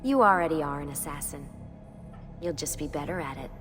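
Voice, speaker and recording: A woman playing a calm, protective young agent in a video game, speaking in a composed, reassuring way. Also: low-pitched, a little fast, natural voice. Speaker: actor voicing a game character. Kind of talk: naturalistic video game cutscene acting. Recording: game dialogue with reverb under music.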